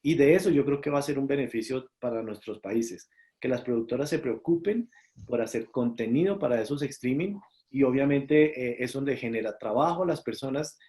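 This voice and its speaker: A man's voice: medium pitch, dark tone. A Colombian man, a series producer, answering interview questions in a thoughtful way with many fillers and restarts. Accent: Colombian Spanish.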